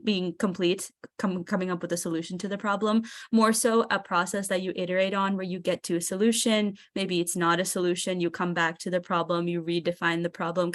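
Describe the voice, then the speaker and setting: A woman's voice: lowish voice, fairly bright tone. A woman in her twenties giving a casual online talk as a guest speaker, chatty with frequent ums and restarts. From Seattle, Washington, US. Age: 20s. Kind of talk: casual presentation.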